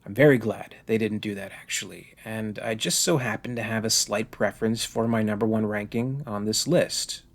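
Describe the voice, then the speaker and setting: A man's voice: lowish voice, normal speed, few pauses. A man narrating a scripted video essay as the host of a fan review channel.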